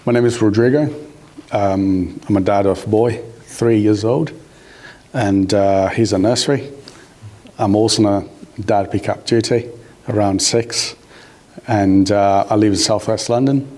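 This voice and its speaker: A man's voice: fairly low pitch, fairly even intonation, unhurried, mellow. A man from Brazil with a Brazilian accent, a native Portuguese speaker, talking casually about himself in a group. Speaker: panel participant. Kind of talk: casual personal chat.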